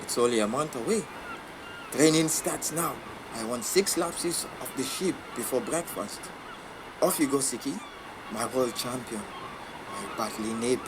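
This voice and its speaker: An actor playing a brash, slyly coaxing boxing manager in an audio drama, commanding and patronising. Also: male voice, medium pitch, lively intonation, unhurried, natural voice. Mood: bossy, smug. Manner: commanding, patronising, drops French endearments. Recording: noisy background ambience.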